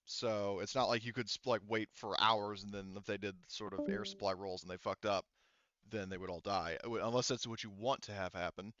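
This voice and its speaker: A man's voice: fairly low pitch, fairly even intonation, a little fast, a bit bright, no room sound, lo-fi sound. A man running a tabletop game as game master, talking casually and explaining the rules, swearing now and then.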